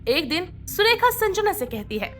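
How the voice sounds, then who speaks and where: A woman's voice: very high-pitched, very animated, moderate pace, a bit bright, faint music behind. A woman reading scripted narration for a moral bedtime story as its storyteller.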